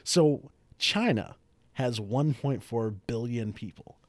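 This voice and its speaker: A man's voice: medium pitch, lively intonation, slow pace, dry recording. A man co-hosting a podcast, joking and playfully arguing with his co-host in casual banter. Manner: joking, teasing, playfully argumentative.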